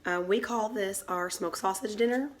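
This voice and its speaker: A woman with a hoarse voice from a cold, casually walking through a home cooking recipe step by step in her kitchen as the channel's host. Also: mid-range voice, moderate pace. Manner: chatty, explains step by step.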